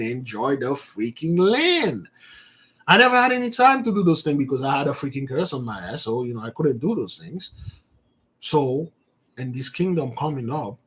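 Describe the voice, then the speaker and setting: A man with as his giving an informal spiritual talk to his followers, animated, repeating words and calling out to his listeners. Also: fairly high pitch. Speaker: spiritual teacher.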